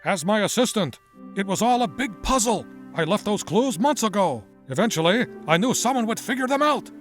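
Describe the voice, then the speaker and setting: A man voicing an eccentric, excitable older inventor in a motion comic, with theatrical, wordy, exclamatory delivery. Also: high voice, a little fast, soft background music. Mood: brisk, explaining. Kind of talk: dramatic character acting for a motion comic.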